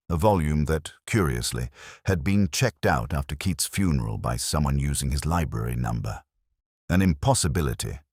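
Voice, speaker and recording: A man narrating a literary detective story in the first person, with a measured, reflective delivery of scripted prose. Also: very deep voice, husky, no room sound, clean studio sound.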